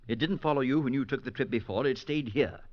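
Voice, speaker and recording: A man's voice: mid-range voice, fast, close to an everyday voice. An actor playing a worried but firm male friend in a 1940s radio drama, reassuring and taking charge. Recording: dull old radio broadcast with crowd.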